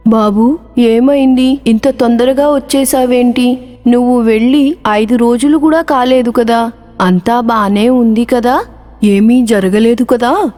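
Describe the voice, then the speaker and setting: Woman as older village mother, caring, coaxing, fairly high voice, cartoon moral tale, soft music underneath. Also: fairly even intonation, slow pace. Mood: concerned. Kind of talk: animated children's moral story dialogue.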